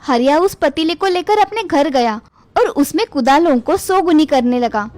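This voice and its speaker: A woman's voice: high-pitched, lively intonation, normal speed, a little mellow. A woman reading a scripted moral tale for children as the narrator.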